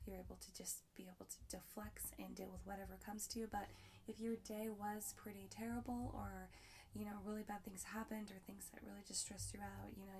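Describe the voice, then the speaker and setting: A young woman talks through her solo podcast in a loose, upbeat ramble full of fillers and restarts. Her voice is hoarse and raspy, with a gravelly fry running under it, at a middling pitch. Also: darkish tone, slight background noise. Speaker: podcast host.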